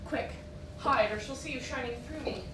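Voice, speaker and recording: A woman's voice: high voice, moderate pace, lightly acted. A woman playing a haughty, poised society wife who keeps up appearances, in an affected, genteel theatrical style. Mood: flustered. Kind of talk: theatrical stage acting. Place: indoor theatre stage, picked up from afar. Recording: roomy, distant stage recording with noise.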